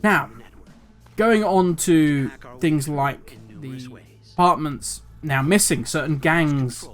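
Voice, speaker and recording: A man's voice: on the high side, slow, soft background music. An older man hosting a gaming channel, talking through his views in a rambling, self-correcting, somewhat cynical way. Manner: cynical, grumbling. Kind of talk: casual commentary video.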